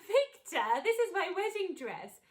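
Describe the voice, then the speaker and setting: A spirited young bride, acted by a woman in a radio drama. Her high voice is very animated, teasing and assertive in a theatrical way. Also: measured pace, exaggerated voice. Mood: playful, bossy. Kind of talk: radio play acting.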